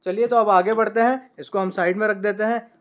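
Young male vlogger, fairly high voice, casual gear walkthrough with lots of "uh", podcast mic at a desk. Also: fairly quick. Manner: relaxed, many "uh" fillers, shows each item. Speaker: moto vlogger reviewing his gear. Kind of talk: casual product review to camera. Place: small indoor room at a desk. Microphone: podcast mic on a boom arm by the desk.

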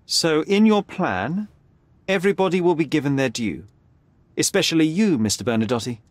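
An actor playing a young detective in a video game, measured, dry and probing. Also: male voice, a little high, animated, no room sound, close to an everyday voice. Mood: challenging. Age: young adult. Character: cool, wry young male detective. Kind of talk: video game cutscene acting.

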